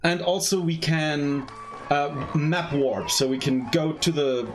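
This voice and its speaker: A man giving casual live speedrun commentary, explaining in a halting way. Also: on the high side, faint music behind. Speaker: speedrunner commentating at a gaming marathon.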